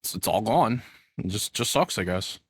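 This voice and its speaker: A young man with a fairly low voice talks casually as he reacts on stream. He rambles and thinks aloud as he goes. The sound is very dry, with no room to it. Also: expressive, normal speed, breathy edge, no echo. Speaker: VTuber streamer reacting to a video.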